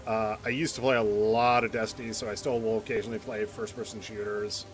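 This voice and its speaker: A man's voice: lowish voice, level tone, a little fast, faint music behind. A man chatting casually as a game streamer, in an informal, rambling way. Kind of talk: casual live stream chat and reactions.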